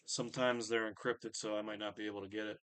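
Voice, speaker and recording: A man with a lowish, very even voice hosts a casual live stream. He mutters along in a distracted way, and the sound is dry, with little room around it. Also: quick pace, no echo.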